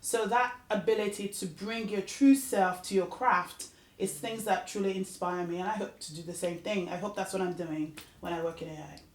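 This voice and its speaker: A woman from Nigeria speaks earnestly and fluently about her background and inspirations. Her mid-pitched voice rings in an echoey room. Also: fairly even intonation, normal speed. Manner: earnest, flowing storytelling. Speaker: data scientist guest. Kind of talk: interview answers.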